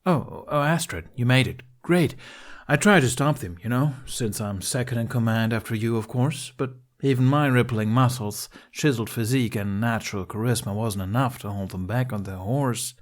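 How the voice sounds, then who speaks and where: A man's voice: mid-range voice, moderate pace, natural voice. A man voicing a vain, boastful young male warrior in a storybook with a mocking, swaggering manner. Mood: smug, boastful.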